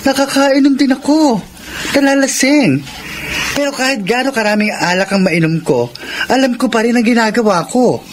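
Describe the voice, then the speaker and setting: A man's voice: very high-pitched, moderate pace. A man playing a concerned, doubting young confidant in a Filipino radio drama. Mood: skeptical, probing. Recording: clearly noisy background ambience.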